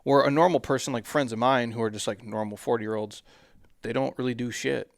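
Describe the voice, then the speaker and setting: A man's voice: medium pitch, fairly even intonation, fairly quick, creaky, no echo, clean studio sound. A man around forty hosting a podcast, talking in laid-back, slangy, joking banter. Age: 40s. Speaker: podcast host. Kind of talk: casual chat.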